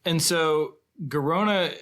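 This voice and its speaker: A man's voice: on the high side, slow, fairly bright tone. A man chatting casually about films, asking questions and giving short reactions. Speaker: film podcast speaker. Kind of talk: casual film discussion.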